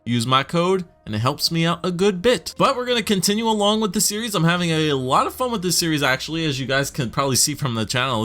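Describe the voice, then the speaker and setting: A young man hosting his own gaming channel, talking through a hockey franchise game in casual, chatty commentary with fillers and quick self-corrections. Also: medium pitch, sharp tone. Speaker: gaming YouTuber.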